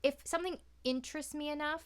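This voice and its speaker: A woman's voice: high-pitched, fairly even intonation, fast talker. A woman chatting casually and giving her opinions as a podcast guest, informal and free with swearing.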